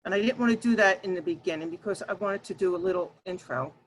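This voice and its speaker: A woman with a loud, projecting voice leads an online workshop, addressing her listeners directly. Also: lowish voice, fast talker, a little hiss or hum, poor audio. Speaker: workshop leader and Toastmasters speaker. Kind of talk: workshop presentation to an online group. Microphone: video call.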